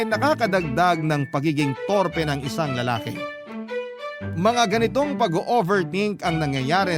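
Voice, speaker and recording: Male radio drama narrator, fairly high pitch, scripted confessional storytelling over prominent music. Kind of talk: first-person scripted narration of a love story. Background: music bed with violin.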